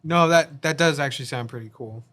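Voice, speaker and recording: A young man chatting casually about video games, speaking haltingly and stumbling over his words. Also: a little high, a bit bright. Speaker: podcast co-host.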